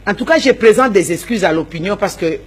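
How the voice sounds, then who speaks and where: A woman's voice: mid-range voice, expressive, fairly quick, a crowd in the background. A woman, an opposition politician answering interview questions emphatically, repeating herself and stammering while she searches for words. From Democratic Republic of the Congo.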